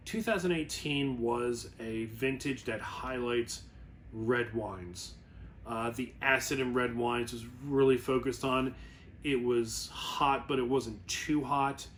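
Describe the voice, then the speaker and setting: A man's voice: medium pitch, unhurried, a bit bright, a little hiss or hum. A man presenting a wine review in a casual, rambling explainer style, often correcting himself as he goes. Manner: informal, rambling, self-correcting.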